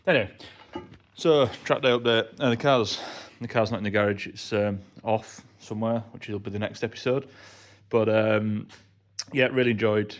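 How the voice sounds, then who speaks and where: A man's voice: on the low side, steady tone, moderate pace. A man giving a casual, understated vlog debrief in a somewhat hesitant way. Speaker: vlogger, amateur racing driver.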